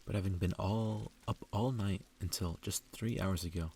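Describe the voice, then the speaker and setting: A man reading a story aloud in a soft ASMR style, hushed and intimate, often slipping into whispers. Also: sits low, fairly even intonation, moderate pace. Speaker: ASMR reader. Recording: faint background noise.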